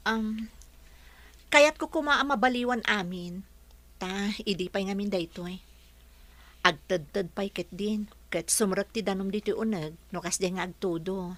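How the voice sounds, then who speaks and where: A woman's voice: medium pitch, unhurried, a bit bright, close to an everyday voice. An actress with an Ilocano accent playing a frank, earnest young woman in a radio drama. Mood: businesslike. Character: Shirley, earnest young woman, frank and principled daughter and girlfriend. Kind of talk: Filipino radio drama acting.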